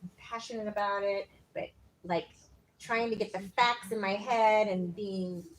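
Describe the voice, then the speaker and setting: A woman's voice: mid-range voice, fairly even intonation, unhurried, a little hiss or hum, rough recording. An American woman in the audience earnestly asking how she could learn to handle hard conversations. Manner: earnest, self-doubting, laughs easily. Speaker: audience member. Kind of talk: casual questions from the audience.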